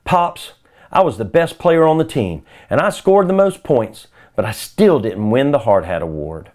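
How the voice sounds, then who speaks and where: A man's voice: mid-range voice, very animated, normal speed, a little mellow, close to an everyday voice. A man voicing a proud, competitive young girl in a children's storybook, earnest and insistent. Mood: disappointed.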